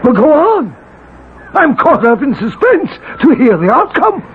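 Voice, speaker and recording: An actor playing a gleeful, sly dwarfish demon who commands and tempts in a theatrical, old-fashioned radio drama manner. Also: male voice, very high voice, sing-song, measured pace, full character voice. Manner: theatrical, commanding, cackling, archaic speech. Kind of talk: 1970s radio drama acting. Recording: muffled, noisy old radio broadcast recording.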